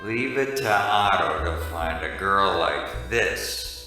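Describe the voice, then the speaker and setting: A man playing a boozy, wisecracking hardboiled private detective in a parody noir radio drama, delivered deadpan. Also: low voice, slow. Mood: wry, musing. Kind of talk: parody noir radio drama, first-person narration and dialogue. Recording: very echoey, distant, music underneath.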